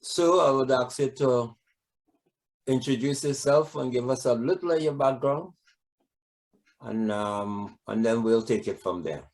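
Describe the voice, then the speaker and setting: A man's voice: mid-range voice, measured pace, darkish tone. A man hosting an interview, asking friendly, rambling questions full of fillers. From Trinidad. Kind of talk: casual interview.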